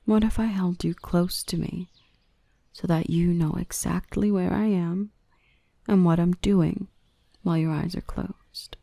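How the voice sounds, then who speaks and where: A woman playing a gentle, nurturing, softly dominant dark elf in an intimate ASMR roleplay, speaking close up in a soothing, reassuring, often half-whispered voice. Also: fairly low pitch, fairly even intonation, unhurried, creaky, dry recording. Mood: soothing, tender.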